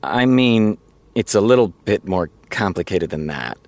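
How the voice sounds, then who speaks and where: An actor playing a wry, guarded TV showrunner with a dry, deadpan sarcasm in a naturalistic audio drama. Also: male voice, fairly low pitch, a little slow, no echo. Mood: hesitant, concerned.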